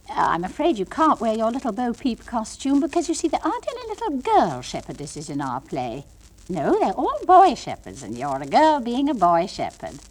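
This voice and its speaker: A woman playing a patient, brightly patronising English nursery school teacher in a comic monologue, coaxing and gently scolding small children in a sing-song way. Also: a little high. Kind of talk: comic monologue, one side of classroom talk.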